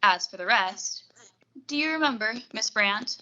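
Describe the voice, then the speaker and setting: A woman's voice: mid-range voice, expressive, bright, forceful tone, lo-fi sound. A young woman acting a cool, formal, menacing blackmailer receptionist in a 1940s-style radio suspense play. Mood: coolly threatening. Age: young adult. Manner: formal, measured, polite menace. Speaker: high school theatre student actor.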